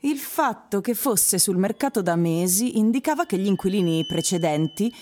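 An observant wife played by an actress narrates in a radio drama. She has a medium-pitched voice and tells the story with wry, skeptical expression. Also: expressive, normal speed, darkish tone, slight background noise, close to an everyday voice. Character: observant, wryly skeptical wife recounting a house viewing.

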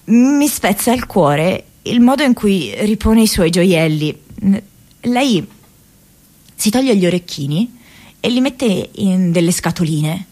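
An actress playing an idealistic, restless young woman in a radio theatre monologue, speaking expressively and haltingly, like an affectionately rebellious daughter. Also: medium pitch. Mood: tender, nostalgic. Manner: halting, expressive, with broken repetitions.

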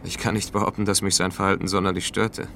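An actor playing a world-weary space traveller, narrating a radio drama in a dry, reflective, wry way. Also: male voice, low-pitched, level tone, fast talker, vocal fry, a bit bright, slight background noise. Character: world-weary male space traveller looking back on his journey.

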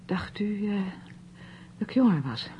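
A woman's voice: mid-range voice, big pitch swings, measured pace, mellow. An actress playing a reserved, polite niece in her thirties in a radio play, subdued and hesitant in her short replies. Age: 30s. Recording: muffled old radio recording, faint noise.

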